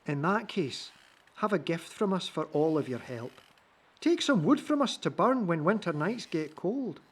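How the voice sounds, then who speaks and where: A kindly tree in a radio play: breathy, slightly low, expressive, polite and caring. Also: female voice, measured pace, exaggerated voice. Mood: caring, grateful. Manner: gentle, courteous. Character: kindly, grateful talking forest tree. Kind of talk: family audio drama acting.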